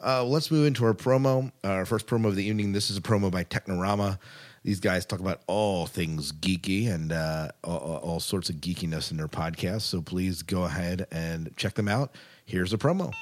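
A man's voice: low voice, brisk. A man hosting a podcast in a casual, informal, rambling way. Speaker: podcast host. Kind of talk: casual sci-fi podcast talk.

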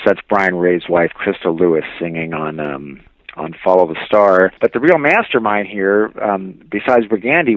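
A man being interviewed on a tinny phone-like line, reminiscing casually and pausing to search for words. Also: lowish voice, dry recording. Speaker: interview guest commenting on album tracks.

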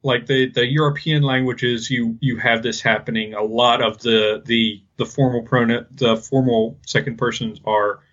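A man co-hosting a podcast, talking casually and haltingly as he explains things. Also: medium pitch, fairly even intonation, a bit bright, dull audio. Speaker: podcast co-host. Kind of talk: casual linguistics discussion.

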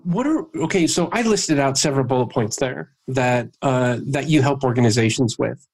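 A man's voice: mid-range voice, moderate pace, a little mellow. A man hosting an interview podcast, casually thinking aloud as he builds his questions.

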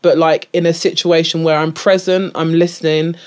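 A woman's voice: low voice, moderate pace. A woman, a guest improviser, chatting casually in an interview, restarting her sentences often and laughing easily. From England. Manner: animated, full of restarts and fillers, laughs often.

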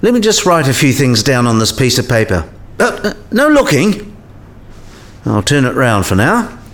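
A man's voice: medium pitch, big pitch swings, moderate pace, fairly bright tone. A man playing a patient, scholarly professor in a radio play, explaining things in a didactic way. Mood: playful, instructing.

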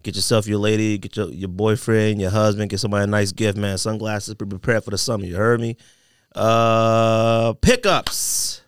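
A man's voice: lowish voice, moderate pace, clean tone, dry recording. Slangy man hosting a casual podcast. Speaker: podcast host. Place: podcast studio.

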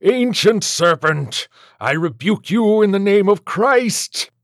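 Audiobook priest, played by a male narrator: steadfast exorcist, solemn declamation, raised pitch, dry close sound. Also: animated, unhurried, no echo. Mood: commanding exorcism. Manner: solemn, declamatory prayer and rebuke.

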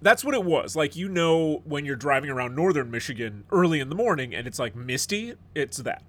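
A man's voice: a little high, expressive, a little fast. A middle-aged man hosting a podcast in casual chat, a bit stuffed up and sniffly, rambling and joking. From United States. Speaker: podcast host.

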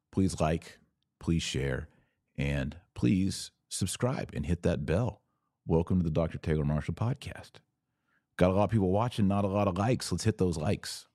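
A man's voice: deep voice, darkish tone, dry recording, studio quality. A man hosting a religious podcast, talking informally and emphatically, often with rhetorical questions and repeated phrases.

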